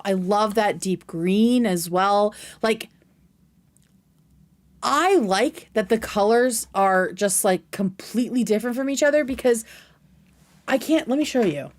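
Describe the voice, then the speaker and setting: A woman's voice: mid-range voice, animated, a little slow. Female livestream host, casual and effusive, mid-range voice, slangy and sweary. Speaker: livestream host reacting to a video. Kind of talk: casual livestream chat with commentary.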